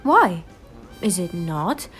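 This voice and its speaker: A young woman on a school stage playing a calm, probing spirit guide, speaking solemnly with pointed questions. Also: lowish voice, slow, slightly rough, soft background music, full character voice. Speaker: stage actor. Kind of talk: school stage play acting.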